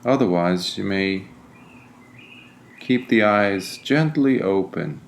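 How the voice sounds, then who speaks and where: A man leading a guided meditation in a deliberate, soothing way, pausing often between phrases. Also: lowish voice, expressive, vocal fry, a little hiss or hum. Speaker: meditation guide, Buddhist monk.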